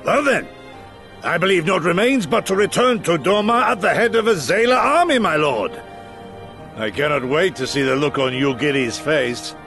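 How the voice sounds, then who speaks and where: An actor playing a respectful, wry retainer in a fantasy game, with formal speech. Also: male voice, fairly high pitch, animated, normal speed, a bit bright, faint music behind. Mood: pleased.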